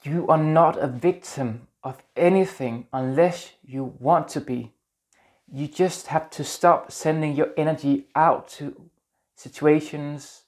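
A Danish-accented man in his thirties talks gently to the camera, earnest and a little halting as he searches for words. He is recorded close on a lapel mic in a small indoor room. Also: fairly high pitch. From Denmark. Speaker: spiritual vlogger. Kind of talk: casual talk to camera.

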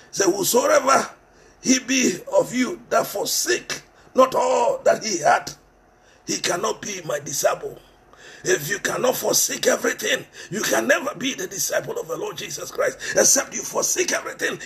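A middle-aged Ghanaian-accented preacher delivers a fervent sermon through a headset mic in a small room, his voice pitched very high and swinging widely. A gravelly fry runs through it, and he hammers his points home by repeating them. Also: animated, moderate pace. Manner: impassioned, repeats phrases for emphasis, switches between English and Twi.

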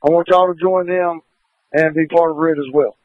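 A man gives polite, halting orders as a fire incident commander over two-way radio. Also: a little high, fairly even intonation, a little hiss or hum. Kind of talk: radio traffic at a fire scene. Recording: phone-like narrow-band radio transmission.

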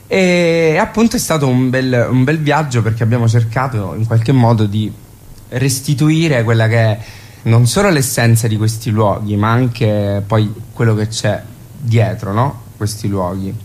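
A man talks in a conversational interview style, with a middle-pitched voice. He is reflective and often searches for the right word. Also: a little slow, darkish tone. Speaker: theatre director and actor being interviewed.

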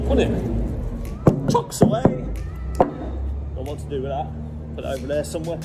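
A man, chatting casually to viewers as he drives his truck and loads it in a yard. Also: on the high side. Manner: chatty, playful, talks to viewers as he works. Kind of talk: casual vlog commentary while driving and loading. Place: truck cab and open loading yard.

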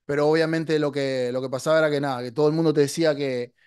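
A man's voice: on the high side, fairly even intonation, quick pace, darkish tone, smooth, no echo. A man in his forties, an online marketing coach, giving a blunt, emphatic motivational talk to camera from a small studio on a podcast mic, dropping in English words. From Latin America. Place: small dark studio, indoors. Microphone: podcast mic on boom arm.

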